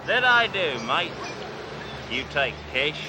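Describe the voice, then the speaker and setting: A man voicing a brash, boastful rich man with a put-on Australian accent, talking in loud swaggering bluster. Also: fairly high pitch, big pitch swings, slow pace, background noise, pitched above the performer's own voice. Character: brash fake-Australian male tycoon, boastful.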